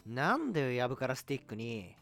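Man playing a pompous, girl-crazy braggart, animated pitch. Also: fast talker, faint music behind. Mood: indignant. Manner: pompous, theatrical boasting. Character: smug, girl-crazy young man lording over indebted friends. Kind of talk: amateur comic radio drama acting.

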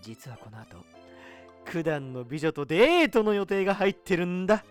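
A man's voice: high voice, sing-song, normal speed, soft background music. A man acting a smug, teasing, breezy young man in a radio drama. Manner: cocky, breezy.

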